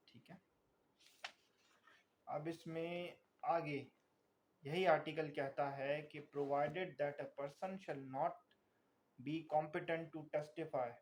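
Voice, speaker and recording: Slow, deliberate Pakistani man explaining. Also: a little high, a little mellow, slight background noise. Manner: deliberate, didactic, repeatedly checking understanding. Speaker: law tutor. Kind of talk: explanatory lecture, reading statute and paraphrasing.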